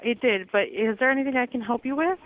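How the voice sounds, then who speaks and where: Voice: mid-range voice, quick pace. A worker on shift answering a phone call, polite, apologetic and a bit unsure. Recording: tinny phone line.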